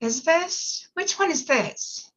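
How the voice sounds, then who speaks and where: A woman's voice: high-pitched, expressive, slow pace, a bit bright, heavily acted. A woman playing a sassy, chatty, breezily apologetic schemer at a script table read, on a slightly dull microphone. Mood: sassy, surprised.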